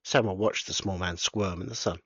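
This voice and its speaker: Deep husky guy reading his own book, plain unpolished delivery, dry and dull sounding. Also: fairly even intonation, brisk, a bit bright, no echo. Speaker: author reading his novel. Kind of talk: storybook narration.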